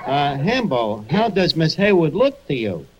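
A man's voice: a little high, big pitch swings, a little slow, dull audio. An actor playing a cocky, bluffing man with streetwise slang in a 1940s radio comedy. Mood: smug showing off. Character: cocky bluffing wisecracking man spinning tall safari tales. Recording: noisy old radio broadcast recording.

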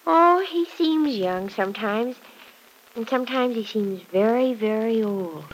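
A woman's voice: a little high, animated, measured pace, slight background noise, poor audio. An actress playing an eager, naive, gushing young girl in an old radio soap, on a vintage recording. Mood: wistful. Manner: gushing, girlish slang. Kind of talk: 1940s-50s radio soap acting.

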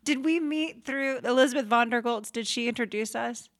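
A woman's voice: on the high side, moderate pace. A woman hosting an interview podcast, gushing and friendly as she greets and questions her guest. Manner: gushing, friendly, laughs often. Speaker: podcast host.

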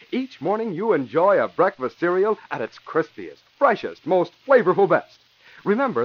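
A man's voice: high voice, no echo. An American man working as announcer and narrator in a polished, emphatic 1940s radio style. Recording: dull vintage radio recording.

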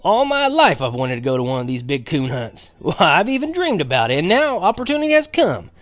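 An old country grandfather from a storybook, acted out by a man, kindly and easily excited. He talks in a folksy, emphatic way, often lifting well above a plain speaking pitch. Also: fairly quick, dry recording, soft background music.

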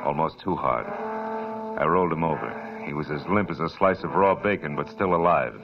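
A man's voice: extremely low pitch, fairly quick, vocal fry, muffled recording. A man playing a hard-boiled private eye who narrates and trades dry, tough wisecracks in a 1940s radio drama. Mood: narrating. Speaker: narrator and lead detective. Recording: old radio recording, hiss.

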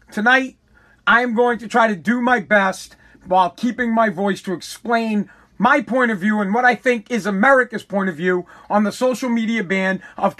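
A man hosting a podcast with a hoarse, worn-out voice, delivering a bombastic comedic rant full of stammers and repeated words. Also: extremely high pitch, sharp tone. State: hoarse, lost voice. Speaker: podcast host.

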